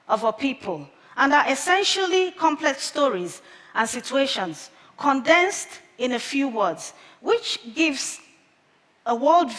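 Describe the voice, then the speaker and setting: Slow, measured woman in a big hall. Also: high voice, a bit bright. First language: Igbo. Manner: slow, measured delivery with careful pauses. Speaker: conference speaker. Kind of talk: prepared public talk. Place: large theater stage with audience. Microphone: headset mic. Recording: long hall reverb from a big theater.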